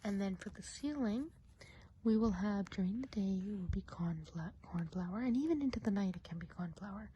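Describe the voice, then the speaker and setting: Gossipy witch of the woods, played by a woman, ASMR roleplay. Very soft, warm, breathy whispering, gentle and chatty; slight background hiss. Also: medium pitch, expressive, dull audio, close to an everyday voice. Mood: musing, chatty. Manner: chatty, gentle, unhurried musing. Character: friendly gossipy witch of the enchanted woods, planning a party.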